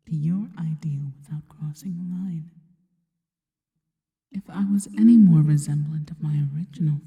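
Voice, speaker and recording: A seductive spider woman, acted by a woman whispers intimately in an ASMR roleplay. Her breathy voice is very dark and unhurried, devoted and deliberate. It trails off into long, hall-like reverb. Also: sits low. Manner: slow, intimate, deliberate phrasing.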